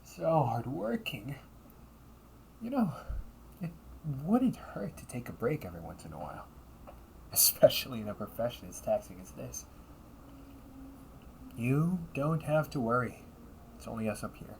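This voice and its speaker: A man voicing a cunning, condescending villain and older brother in an audio roleplay, taunting and theatrical, often dropping toward breathy near-whispers. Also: medium pitch, sing-song, unhurried, long pauses, a little mellow, slight background noise, rough recording. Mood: smooth, mocking. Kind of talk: audio roleplay monologue addressed to the listener.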